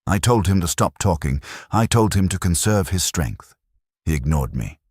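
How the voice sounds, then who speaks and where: Male narrator, extremely low pitch, dry close sound, detached and solemn, measured storytelling with moving intonation. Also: animated, darkish tone, slightly rough, dry recording. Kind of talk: scripted first-person war story narration.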